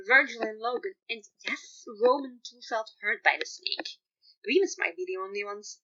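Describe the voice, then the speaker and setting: A woman's voice: on the high side, sharp tone, lo-fi sound, heavily acted. A woman voicing a proud, wounded young man from a story, speaking in a dry, theatrical way.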